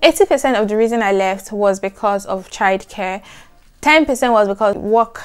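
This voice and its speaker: Soft-voiced woman chatting emphatically. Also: medium pitch, moderate pace, clear, resonant tone. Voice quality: soft soothing voice. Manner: animated, emphatic, repeats "trust me". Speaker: vlogger. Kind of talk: casual chat to camera.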